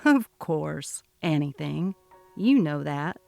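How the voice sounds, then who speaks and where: An easygoing young man played by a woman in a dramatically read story, pitched down low. He is folksy and confiding, unhurried in his talk, with faint music behind. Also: sing-song, gravelly, darkish tone, no echo, heavily acted. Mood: friendly, relaxed. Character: easygoing young married man, gossipy old flame, bitter about stepsister. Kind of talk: dramatic reading of a text-message story.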